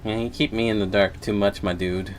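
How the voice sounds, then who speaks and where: A man's voice: fairly low pitch, fairly even intonation, fairly quick, poor audio. A man livestreaming a game, reacting casually as he plays.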